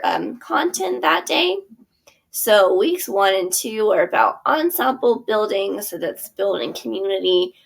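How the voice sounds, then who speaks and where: A woman's voice: mid-range voice, gravelly, slightly rough. A woman theater teacher giving a friendly instructional walkthrough of her curriculum over a screen share, with frequent ums and small self-corrections.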